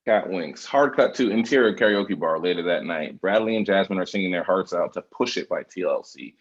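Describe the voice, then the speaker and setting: A man narrates stage directions for a table read. His voice is deep and husky. The recording is a little dull and muffled. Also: lively intonation, brisk.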